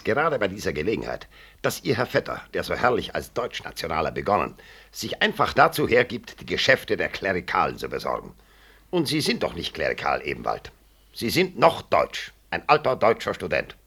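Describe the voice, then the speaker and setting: Radio drama: low, gravelly senior professor, sharp and sarcastic, swooping intonation. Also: male voice, exaggerated voice. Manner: biting irony, rhetorical questions. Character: combative, sarcastic senior medical professor defending his colleague. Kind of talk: 1960s German radio play acting.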